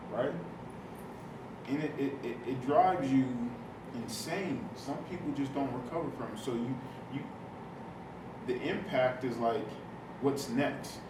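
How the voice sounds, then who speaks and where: Reflective man with a deep voice. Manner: reflective, searching phrasing. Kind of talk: personal sharing in a listening circle. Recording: echoey room, distant mic, clear noise.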